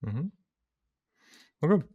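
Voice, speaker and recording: A man conducting an interview, asking questions in a measured, methodical way. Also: narrow, tinny audio.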